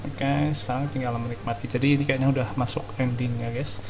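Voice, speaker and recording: A woman's voice: rumbling low, flat delivery, fast, heavy background noise. A woman with an Indonesian accent giving casual gameplay commentary as a streamer. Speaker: game streamer. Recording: loud game music behind.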